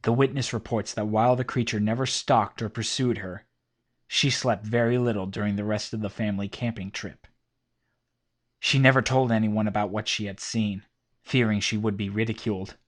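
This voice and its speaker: A man giving measured, documentary-style scripted narration between witness accounts. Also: fairly low pitch, steady tone.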